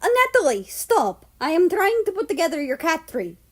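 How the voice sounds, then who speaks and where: A woman reading the part of an impatient, gruff man scolding his cat in a storybook narration. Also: extremely high pitch, very animated, normal speed, voice pushed up. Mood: exasperated. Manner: impatient, commanding. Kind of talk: fan fiction audiobook character voice.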